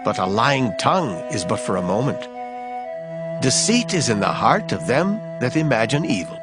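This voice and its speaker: An actor plays a stern man warning against wickedness in a dramatized scripture recording. His mid-pitched voice swings widely in grave declamation, with loud music playing behind him. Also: big pitch swings, normal speed, close to an everyday voice. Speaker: scripture reader. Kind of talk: dramatized audio Bible reading. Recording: studio reading over prominent music.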